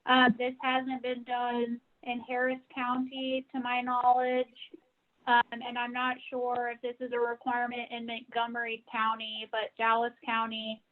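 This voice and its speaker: Flat-toned woman on a tinny phone line. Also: on the high side, measured pace. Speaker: association staffer answering regulatory questions. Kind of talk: informal explanation on a work call.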